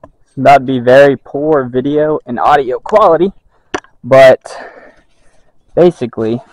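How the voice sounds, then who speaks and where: A man calmly explaining how to mount a rooftop tent, step by step. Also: mid-range voice, unhurried, slight background noise, poor audio. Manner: calm, methodical.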